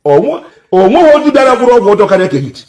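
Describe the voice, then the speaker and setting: Interviewee man, very fast, lively pitch, mic held at a distance. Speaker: interviewee, native doctor.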